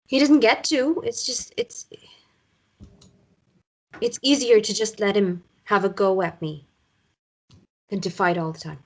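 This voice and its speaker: A woman acting a weary, evasive mother in a naturalistic drama table read, speaking haltingly and appeasingly. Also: medium pitch. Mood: evasive, uneasy. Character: weary mother downplaying her ex's abuse, evasive.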